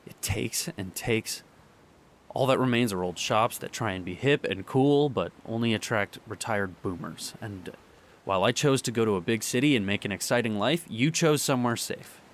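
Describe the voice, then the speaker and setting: A young man playing a heartbroken boyfriend in an audio drama monologue, speaking earnestly and haltingly. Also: on the low side, lightly acted. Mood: bitter, longing. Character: heartbroken young boyfriend pleading with his distant partner.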